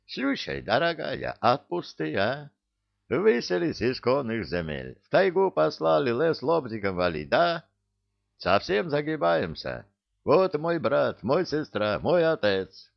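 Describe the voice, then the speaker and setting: Wheedling Georgian-accented huckster spirit. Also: male voice, medium pitch, wildly swooping pitch, slow, vocal fry, pitched above the performer's own voice, heavily acted. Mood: wheedling, plaintive. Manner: wheedling, rambling, broken grammar. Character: hook-nosed Caucasian-style huckster spirit in Native costume, whining. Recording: dull lo-fi audio.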